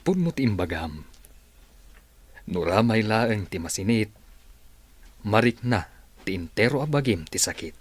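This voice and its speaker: A man's voice: lowish voice, very animated, a little slow, long pauses, husky, no room sound, full character voice. A Filipino man playing a stern, moralizing office boss in a radio drama, admonishing and preachy.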